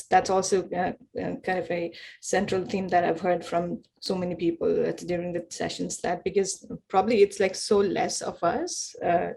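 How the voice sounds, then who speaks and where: Creaky-voiced woman, halting speech. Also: fairly low pitch, a little mellow, slight background noise, poor audio. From Nepal. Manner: halting, many fillers and repeated words, stutters. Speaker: workshop participant. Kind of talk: casual remarks in a group call.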